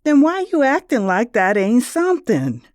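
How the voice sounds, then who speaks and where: A woman's voice: fairly high pitch, huge pitch swings, moderate pace, darkish tone, pitched above the performer's own voice. A woman voices a grown daughter from a family audio drama. She sounds defensive and guilt-laden, protesting in plain, hesitant words. The recording is dry and free of echo. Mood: exasperated.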